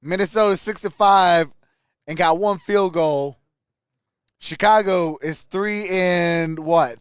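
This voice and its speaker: A man's voice: sits high, slow, clear, resonant tone, no room sound, studio quality. A man hosting a sports livestream, casually mixing play-by-play with informal, chatty replies to viewers. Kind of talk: casual live play-by-play and chat.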